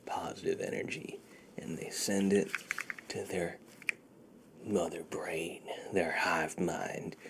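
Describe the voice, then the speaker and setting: A man doing a soft-spoken, half-whispered ASMR roleplay in a soothing, reassuring manner, murmuring and repeating himself close to a sensitive microphone. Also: sits low, creaky, background noise. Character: friendly shady dealer treating a client's tingle immunity. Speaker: ASMR performer.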